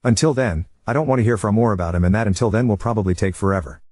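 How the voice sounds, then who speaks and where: Brisk low-voiced man, dry close narration. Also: darkish tone, no room sound. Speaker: narrator reading a forum post. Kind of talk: reading a forum post aloud.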